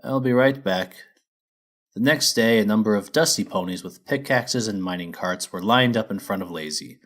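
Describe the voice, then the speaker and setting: A male narrator reading a storybook aloud. His voice sits a little low. The delivery is scripted storytelling. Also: a little fast, a bit bright.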